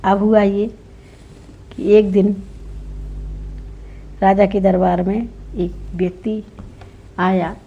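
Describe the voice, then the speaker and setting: A woman's voice: mid-range voice, level tone, takes it slowly, long pauses, breathy edge. A woman narrating a folk tale informally, in a conversational way that checks in with the listener. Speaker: storyteller. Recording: dull lo-fi recording.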